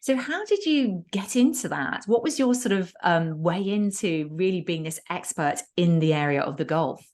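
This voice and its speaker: A woman hosts a podcast interview, her voice a little low for a woman. She speaks effusively and with enthusiasm as she introduces her guest and asks questions. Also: expressive, moderate pace, few pauses, a little mellow. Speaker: podcast host.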